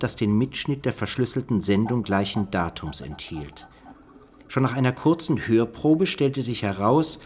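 Actor as pensive male investigator, radio play, low voice, questioning and deliberate. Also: darkish tone, lightly acted. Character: pensive, questioning male investigator puzzling over coded documents. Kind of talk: radio play dialogue and document reading.

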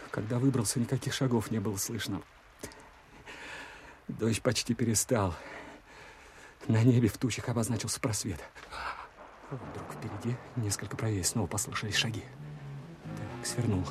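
An actor playing a young, obedient Soviet soldier who narrates a night pursuit in a tense, confiding, hesitant manner in a war radio play. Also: male voice, lowish voice, steady tone, moderate pace, long pauses, noisy background, natural voice. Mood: tense, urgent. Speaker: first-person narrator. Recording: music bed under radio play. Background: loud music.

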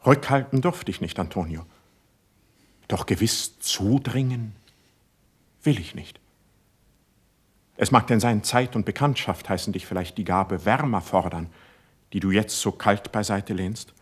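Casting: sensitive young poet for verse radio drama; lowish, breathy voice, lofty fervent delivery. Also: male voice, measured pace, long pauses. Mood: earnest, wounded. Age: young adult. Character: idealistic, impulsive young male poet, ardent and sensitive. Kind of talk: classical German verse radio drama acting.

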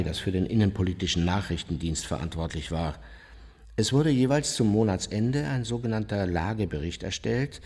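A man's voice: on the low side, creaky, a little mellow, breathy edge, full character voice. An actor playing an older, unrepentant Nazi war criminal, smug and matter-of-fact as he recounts his memoirs in a radio drama. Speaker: narrator of his own memoirs. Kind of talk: radio drama monologue, reminiscing.

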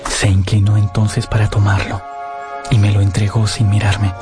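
A man's voice: lowish voice, level tone, moderate pace, lightly acted. An actor playing an earnest, lovesick young man who narrates his romance in a radio drama. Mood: wistful, narrating. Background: prominent music.

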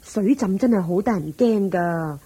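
A woman playing the gentle, concerned wife of a wealthy master in a Cantonese radio drama, attentive, with a Hong Kong accent. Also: medium pitch, expressive, measured pace, husky.

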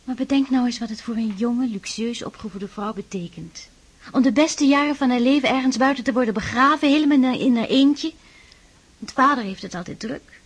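An actress playing a shy, devout aristocratic sister in a Dutch radio drama, speaking earnestly and tenderly, with pleading admonishment. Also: female voice, a little high, fairly quick, husky. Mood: shy, confiding.

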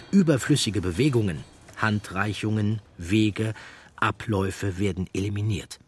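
Low-voiced male narrator. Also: a little hiss or hum. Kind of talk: scripted documentary narration.

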